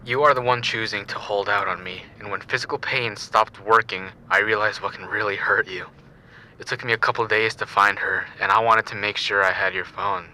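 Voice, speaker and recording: A man acts out a sadistic killer in an audio drama. His low voice has a very hard, bright edge, and he delivers threats with cold, taunting amusement. There is clear noise behind him. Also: fairly even intonation, fairly quick, low-quality recording. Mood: cold menace. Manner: menacing, taunting, matter-of-fact.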